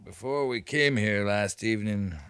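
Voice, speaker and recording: A man's voice: lowish voice, moderate pace, a bit bright, clean tone, dry recording. A man playing a terse, courteous but firm American cavalry captain in a 1950s radio western. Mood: courteous, probing. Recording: vintage 1950s radio recording.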